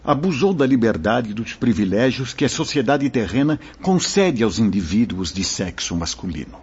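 An actor playing a wise, solemn spiritual mentor who teaches formally in a radio drama. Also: male voice, mid-range voice, big pitch swings, normal speed, a little mellow, a little hiss or hum. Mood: grave explaining. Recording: dull lo-fi audio, music behind. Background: music.

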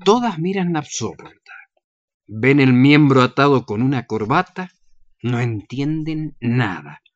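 A woman's voice: very deep voice, animated, takes it slowly, no room sound, dull audio. A woman narrating the action of a radio play from a script.

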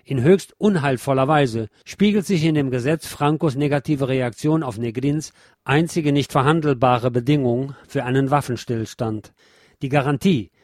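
A man reading scripted historical documentary narration in a formal, measured manner. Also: medium pitch, darkish tone, no room sound, clean studio sound. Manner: formal, measured read delivery.

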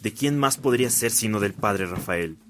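An actor playing a spiteful, insistent priest and sacristan in a radio drama. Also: male voice, lowish voice, lively intonation, moderate pace, a little hiss or hum, lightly acted. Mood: accusing. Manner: insistent, accusatory. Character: spiteful, insinuating male priest and cathedral sacristan.

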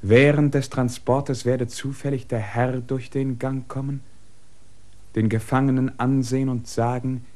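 An actor voices a messenger in a radio play delivering an official ruling. His mid-pitched voice reads formally and gravely, at an even, deliberate pace. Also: close to an everyday voice. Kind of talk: radio drama acting.

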